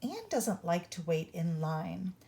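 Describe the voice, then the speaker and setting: Slow, expressive woman reading a storybook. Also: on the low side, gravelly. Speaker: storyteller reading a children's book aloud. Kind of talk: read-along storybook narration for young children.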